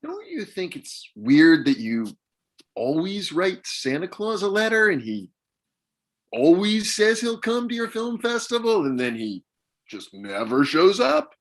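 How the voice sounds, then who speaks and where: A man playing an exasperated dad, wildly varied pitch. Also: very animated, unhurried. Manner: blunt, sarcastic. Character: exasperated, sarcastic retired father of a grown son. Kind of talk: comedy script table read.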